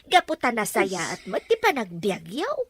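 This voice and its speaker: A woman's voice: mid-range voice, wildly swooping pitch, moderate pace, fairly bright tone. A woman playing a deferential, concerned household helper in an audio drama, with an Ilocano accent. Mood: concerned, coaxing.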